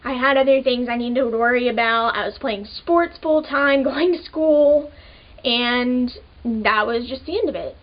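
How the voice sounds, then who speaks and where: A young woman of twenty with a high voice, talking to camera in a relaxed, chatty way and leaning on "like" between thoughts. The audio sounds a little dull and muffled. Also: unhurried. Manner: casual, chatty, many "like" fillers. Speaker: vlogger. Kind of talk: personal storytelling to camera.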